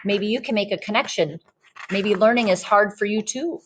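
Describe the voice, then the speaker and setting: A woman reading a children's story aloud expressively, like a teacher, in a deliberate manner that invites listeners in. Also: medium pitch, slight background noise. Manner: slow, deliberate, pauses to ask listeners questions.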